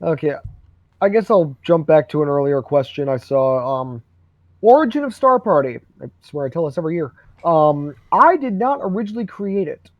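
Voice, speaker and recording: A man's voice: a little high, big pitch swings, moderate pace, no room sound. A young man hosting a live stream, chatting casually, rambling and swearing freely. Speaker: host. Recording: dull dry lo-fi stream.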